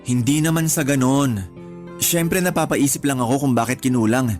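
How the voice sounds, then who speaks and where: A man's voice: mid-range voice, moderate pace, darkish tone, close to an everyday voice. An actor playing a patient but exasperated live-in boyfriend in a Tagalog radio drama, earnest and reproachful as he reasons. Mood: hurt, frustrated. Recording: music bed behind the voice.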